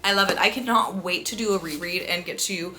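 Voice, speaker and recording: A woman's voice: fairly low pitch, brisk, sharp tone. A young woman book vlogger chatting casually and enthusiastically, gushing and repeating words for emphasis. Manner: gushing, effusive, emphatic repetition. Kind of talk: casual enthusiastic chat to camera.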